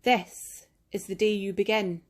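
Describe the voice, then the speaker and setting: A woman reading a picture book aloud in a gentle, measured, expressive storytelling manner, like a teacher at storytime. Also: mid-range voice.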